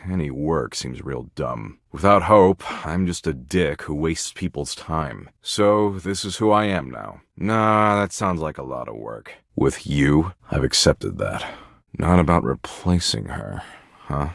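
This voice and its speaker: Very deep, jaded existential dread slacker. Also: male voice, no echo, studio quality. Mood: gloomy, sardonic. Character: sardonic, nihilistic male embodiment of existential dread. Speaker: voice actor in a dating simulator game. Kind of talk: scripted video game character dialogue.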